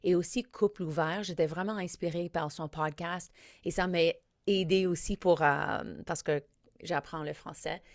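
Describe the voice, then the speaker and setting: A woman's voice: on the low side, steady tone, normal speed, dry recording. A woman, a native English speaker, hosting a podcast from a studio and chatting casually with frequent fillers and slips into English. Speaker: podcast host. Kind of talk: casual podcast intro and interview.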